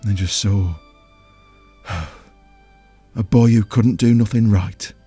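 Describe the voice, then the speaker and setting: An actor playing a huge, simple, childlike potion brewer in an audio drama, with rustic folksy speech and a halting, earnest, wounded manner. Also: male voice, on the low side, fairly even intonation, warm tone, slightly rough, no echo, faint music behind, heavily acted. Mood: quietly proud. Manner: halting, plain-spoken, earnest. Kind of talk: audio drama character acting.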